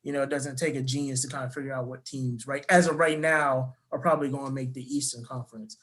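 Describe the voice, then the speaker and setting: A man hosting a sports podcast, moving the show along and reading out a list at a quick clip. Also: mid-range voice, fairly even intonation, fairly bright tone. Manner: talks fast, steers the segment. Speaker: podcast host. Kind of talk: casual sports chat.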